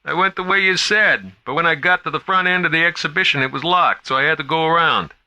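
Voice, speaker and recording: A man putting on a high, flustered young guard. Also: fast, pushed and bright, highs cut off. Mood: anxious. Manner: anxious, raised and strained.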